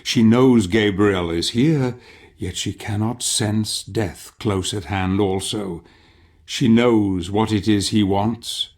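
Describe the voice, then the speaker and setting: An actor playing a solemn, ominous older storyteller, narrating a video game in a theatrical, measured manner. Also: male voice, lowish voice, steady tone, a little mellow. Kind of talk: scripted dramatic game narration.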